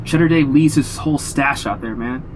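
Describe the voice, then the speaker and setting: A man's voice: medium pitch, moderate pace, background noise, lightly acted. Man acting a cocky young stoner dude. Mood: cocky, eager. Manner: brash, teasing, slangy. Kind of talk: audio drama dialogue.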